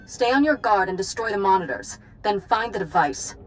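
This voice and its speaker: A woman's voice: mid-range voice, lively intonation, normal speed, soft background music, natural voice. A woman voicing a resolute Jedi leader in a video game, speaking solemnly and with command to devoted followers. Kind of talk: scripted game cutscene dialogue.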